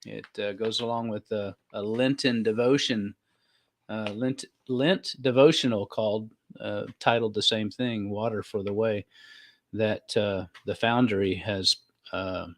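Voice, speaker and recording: A man's voice: lowish voice, steady tone, unhurried. Folksy man chatting casually as co-host. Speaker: podcast co-host. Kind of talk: casual chat.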